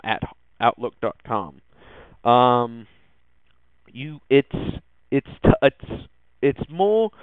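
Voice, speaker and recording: The sound is thin and phone-like, dry and close with little room to it. A man talks casually as a podcast host, in a smooth voice. His delivery is halting and hesitant as he works through the news. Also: medium pitch, dry recording. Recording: muffled, phone-like narrowband audio.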